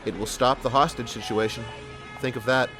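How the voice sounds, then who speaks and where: Man acting persuasive police sergeant, medium pitch. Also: fairly even intonation, a little fast, music in the background, lightly acted. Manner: smooth-talking, earnest, persuasive. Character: persuasive, good-hearted male police sergeant and storyteller. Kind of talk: audio drama acting and first-person narration.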